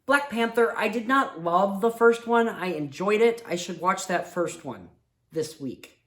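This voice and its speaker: A man's voice: high voice, animated, unhurried, fairly bright tone. A man hosting a casual movie review show, sick, sniffling and with his voice fading, talking in a rambling, heartfelt way. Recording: roomy echo.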